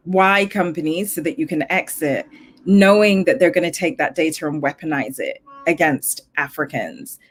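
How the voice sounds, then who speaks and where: Low-voiced woman on a panel. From Zambia. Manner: thoughtful, with frequent fillers and restarts. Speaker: panelist and tech founder. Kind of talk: panel discussion.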